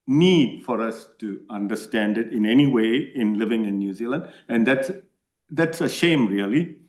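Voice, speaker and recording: Earnest man, echoey room. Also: medium pitch. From Malaysia. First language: Tamil. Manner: earnest, repeatedly asks "right?". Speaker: submitter to a parliamentary committee. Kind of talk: answering committee questions.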